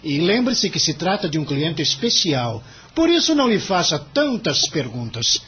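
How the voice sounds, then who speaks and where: A man's voice: fairly high pitch, big pitch swings, normal speed, a bit bright, lo-fi sound, slight background noise. An actor playing a stern, impatient senior lawyer in an audio drama, formal, curt and condescending with his junior. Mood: impatient, reprimanding. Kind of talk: radio drama acting.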